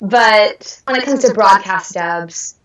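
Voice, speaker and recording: A woman's voice: mid-range voice, slow pace, fairly bright tone. A young woman talking casually in an interview as a voice actor guest, in an upbeat, bubbly way. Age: young adult. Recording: dull distant roomy sound.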